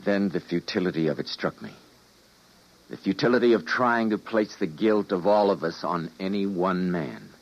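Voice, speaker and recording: An actor playing a weary, idealistic scientist and time traveler, narrating and speaking gravely and dramatically in an old-time radio drama. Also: male voice, low voice, moderate pace. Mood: grave, bitter. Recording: dull old radio recording.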